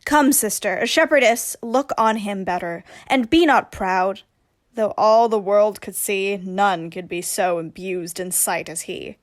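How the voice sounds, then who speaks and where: A woman's voice: medium pitch, a little slow, no echo, close to an everyday voice. Woman as sassy disguised youth, shakespeare radio play, scolding n mocking, dry close sound. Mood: brisk, dismissive. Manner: scolding, teasing, theatrical.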